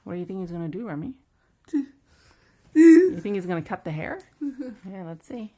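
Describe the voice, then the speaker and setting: A woman reading a bedtime storybook aloud to her child as a mother, pausing for chatty asides and questions to engage the child. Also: on the low side, big pitch swings, warm tone. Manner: pauses to prompt and engage the child.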